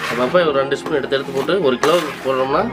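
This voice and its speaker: A man's voice: medium pitch, steady tone, racing. A man hosting an informal cooking video, instructing step by step and pointing things out to viewers. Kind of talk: informal recipe demonstration. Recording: loud background music. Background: music.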